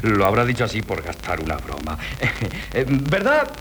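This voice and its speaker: An actor from playing a nervous, evasive husband who stammers, in a 1970s radio drama. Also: male voice, fairly low pitch, huge pitch swings, normal speed, a bit bright, a little hiss or hum. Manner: nervous, stammering, forced laughter.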